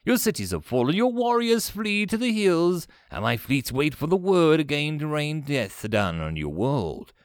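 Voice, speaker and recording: Man voicing imperious, exasperated alien warlord, wildly animated. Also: a little high, normal speed, hardly any pauses, dry recording, studio quality, pitched above the performer's own voice. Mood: grandly domineering. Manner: pompous, snarling, easily exasperated. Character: imperious, exasperated alien fleet commander with mandibles, male. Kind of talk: sci-fi audio story character.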